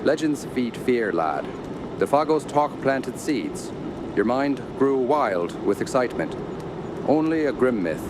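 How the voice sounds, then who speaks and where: An actor playing a stern, rational, authoritative uncle with a formal, insistent manner in a radio horror drama. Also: male voice, medium pitch, very animated, unhurried. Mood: dismissive. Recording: clear outdoor ambient noise behind.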